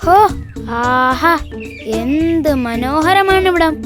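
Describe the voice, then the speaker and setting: High, plucky childlike cartoon animal. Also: female voice, drawn-out words, over music. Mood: delighted. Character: plucky, quick-witted small animal friend, childlike.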